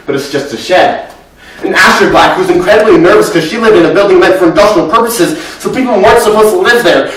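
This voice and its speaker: A man's voice: mid-range voice, slight background noise, pitched below the performer's own voice, natural voice. A young man performing a dramatic monologue as a grieving, anxious, talkative boy, with an earnest, intense delivery, recorded in a very echoey room far from the mic. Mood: wistful. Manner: earnest, intense, breathless run-on telling that swells from hushed to loud. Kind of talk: dramatic monologue at a speech competition.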